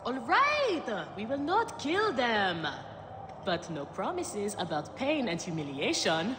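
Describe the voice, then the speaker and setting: A woman's voice: fairly high pitch, wildly swooping pitch, slow pace, reverberant, background noise, full character voice. An actress playing a bold, ambitious arena fighter in a video game, confident and dramatic in her delivery. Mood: wry. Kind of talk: video game cutscene acting.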